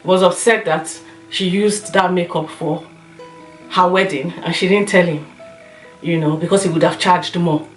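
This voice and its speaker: Woman, lower-pitched, slightly hoarse, casual opinion vlog, roomy echo. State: slightly hoarse, recovering from a cough. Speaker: YouTube lifestyle and beauty vlogger. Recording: roomy echo, light music underneath.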